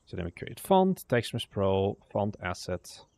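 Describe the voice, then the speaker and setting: Young man, casual dev-stream muttering, gravelly fry, dry close sound. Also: lowish voice, big pitch swings, a little slow, warm tone, no room sound. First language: Dutch. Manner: mutters to himself, trailing off and swearing lightly. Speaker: indie game developer streaming. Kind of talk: casual livestream, thinking aloud while working.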